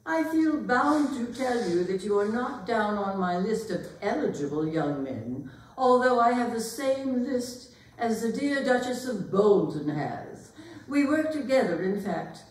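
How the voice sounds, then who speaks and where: In a very echoey hall, far from the microphone, a woman plays an imperious society mother. She delivers pompous verdicts with lively, swooping intonation, as in a period stage comedy. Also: fairly high pitch, measured pace. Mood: haughty, imperious. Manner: pompous, pronouncing grand opinions. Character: imperious upper-class older mother interrogating a suitor. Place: performance hall, recorded from a distance.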